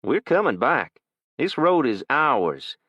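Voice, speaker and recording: A man voicing a cocky, menacing teenage boy with a thick, slow South Louisiana drawl. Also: mid-range voice, big pitch swings, sharp tone, no room sound, highs cut off, voice pushed up, exaggerated voice. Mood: cocky, threatening.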